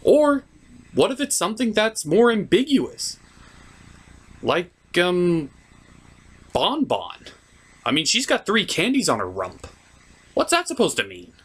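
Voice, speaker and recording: A man's voice: high voice, huge pitch swings, measured pace, pauses often, fairly bright tone, breathy edge. A man hosting a comedic cartoon review, delivering scripted commentary and asides with theatrical, mock-dramatic emphasis. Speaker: host of a cartoon review show.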